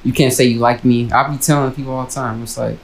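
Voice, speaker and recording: A man's voice: mid-range voice, steady tone, quick pace, gravelly, slight background noise. Laid-back Bay man, roomy sound. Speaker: podcast host. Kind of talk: casual chat.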